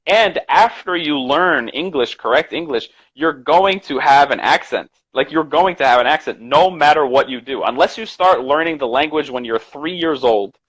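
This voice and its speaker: A man's voice: on the high side, normal speed, hardly any pauses, a bit bright, rough recording. A man co-hosting a casual live stream chat about learning English, talking earnestly and repeating his points for emphasis over a video call.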